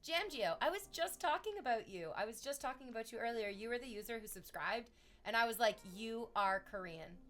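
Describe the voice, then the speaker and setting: A woman's voice: fairly high pitch, lively intonation, fairly quick, fairly bright tone. A woman chatting casually on a livestream, rambling to her viewers and cooing at her dog in baby talk.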